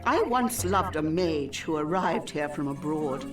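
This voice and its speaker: A woman playing a wistful, older-looking healer in the swamps, with formal, old-fashioned, measured phrasing, in video game dialogue. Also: deep voice, expressive, far from the mic, music in the background. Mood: wistful, reflective.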